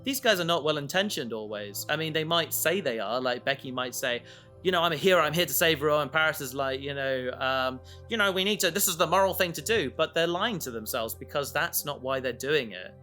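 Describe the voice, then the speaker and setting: A man chatting casually as a podcast co-host, halting and often interrupting himself as he rambles. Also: a little high, expressive, pushed and bright, soft background music. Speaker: podcast co-host and audio drama creator.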